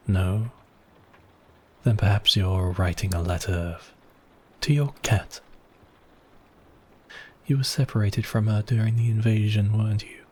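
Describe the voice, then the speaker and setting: Man as a deep, slow, silky vampire count. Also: dark tone, slightly rough. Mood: mock sympathy. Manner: silky, teasing, drawn-out phrasing with sighs and pauses. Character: suave, obsessive male vampire count, mocking and possessive. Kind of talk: intimate ASMR roleplay acting.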